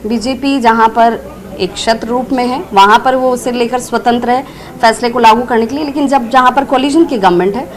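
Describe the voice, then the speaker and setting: Woman tv reporter, voice a bit high, asking long pushy political questions. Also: moderate pace. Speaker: TV news interviewer.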